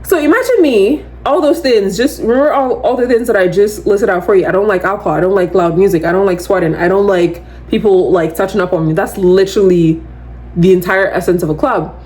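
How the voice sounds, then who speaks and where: A woman in her twenties with a loud voice, hosting a podcast in casual, rambling solo chat, full of "like" and animated asides. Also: medium pitch, brisk. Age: 20s. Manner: chatty, rambling, heavy use of "like"; animated, slips into quoting others. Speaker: podcast host.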